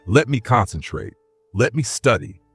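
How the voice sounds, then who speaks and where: A man voicing a calm, ancient female spirit in a cave who speaks in a hushed, probing way. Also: medium pitch, normal speed, breathy edge, dry recording, faint music behind, voice pushed up, full character voice.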